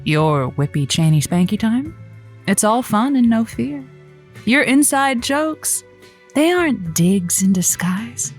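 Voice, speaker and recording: A woman's voice: mid-range voice, a little mellow. A woman playing a flirty, poised late-night romance advice host in a scripted audio comedy, theatrical and coy. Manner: theatrical, coy, playful wordplay.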